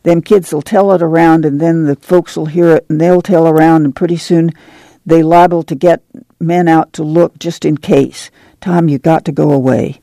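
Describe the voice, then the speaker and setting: A man's voice: on the high side, steady tone, normal speed, creaky, dark tone, dry recording. A man voicing a worried older mother who is tender but firm, speaking in plain rural talk. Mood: uneasy. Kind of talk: audiobook character reading.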